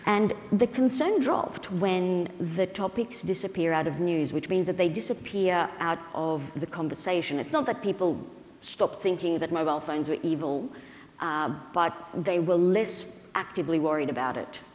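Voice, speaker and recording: A woman in her thirties giving an explanatory, conversational conference keynote, with halting, hesitant phrasing. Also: lowish voice, a little mellow. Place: indoor stage at a conference, at a podium. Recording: reverberant hall sound.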